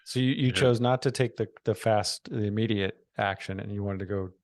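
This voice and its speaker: Low-voiced male podcast host. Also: fairly even intonation, a little fast, no echo. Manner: supportive, frequent short affirmations. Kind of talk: interviewing, brief backchannels.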